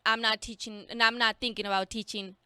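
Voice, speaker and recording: Bright, clear-voiced Mexican-accented woman. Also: fairly high pitch, level tone, fairly quick, dry recording. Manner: chatty, laughs easily, quotes herself. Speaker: guest, teacher. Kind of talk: casual podcast conversation.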